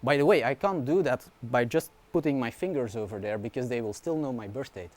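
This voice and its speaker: A man, a university researcher giving a relaxed conference talk, often checking in with the audience. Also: medium pitch, fairly quick. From Belgium. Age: 20s. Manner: casual, informal explaining with frequent "yeah?" and "right?" check-ins; fillers and restarts, light humor.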